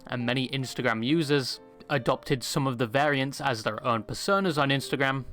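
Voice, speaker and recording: A man's voice: mid-range voice, moderate pace, fairly bright tone, faint music behind. A man narrating scripted commentary about internet culture in the style of a video essay.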